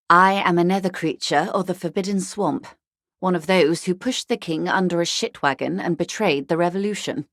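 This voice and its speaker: A woman voicing a poised upper-class older woman in a video game, measured and articulate, with dryly ironic, condescending politeness. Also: lowish voice, no room sound, studio quality, natural voice. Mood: sardonic, theatrical. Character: poised, wry upper-class older woman, unrepentant ultra-liberal negotiator. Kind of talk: video game character dialogue.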